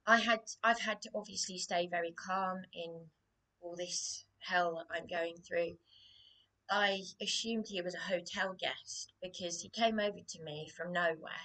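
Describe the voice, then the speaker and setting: A woman talks slowly to her camera with a hoarse voice that keeps sinking into a whisper. She stops and restarts her sentences, distressed and searching for words. Her pitch sits somewhat low. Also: bright, forceful tone, low-quality recording. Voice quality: hoarse, often dropping to a whisper. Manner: distressed, hesitant, halting with many restarts. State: losing her voice, coughing. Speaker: vlogger. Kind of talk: personal vlog monologue.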